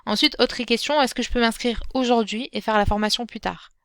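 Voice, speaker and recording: A woman hosting her own podcast as a Quran teacher. She speaks gently and encouragingly, addressing the listener directly, with frequent fillers and restarts. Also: on the high side, a little fast, no echo, studio quality. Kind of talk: solo podcast talk.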